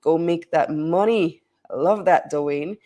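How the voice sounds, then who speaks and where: A woman hosting a live show with a stuffy, hoarse voice from a cold, chatting upbeat with viewers over a video call. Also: deep voice, slow, dark tone. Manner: chatty, upbeat, reads viewer comments aloud. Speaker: show host. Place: hotel or conference venue, traveling.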